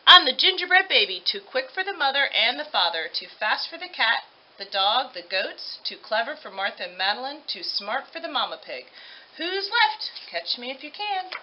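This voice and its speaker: A high, swooping little storybook gingerbread boy, acted out by a woman. He is cheeky and boastful, delivering taunts in a singsong. The recording sounds a bit muffled. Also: sing-song, normal speed, a little hiss or hum. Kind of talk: storybook read-aloud character voice. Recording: dull lo-fi audio.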